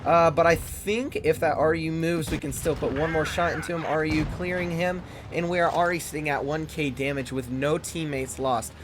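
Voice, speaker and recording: A man hosting a gaming channel, reviewing a tank game in loose, fast, chatty commentary. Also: a little high, steady tone, noisy background.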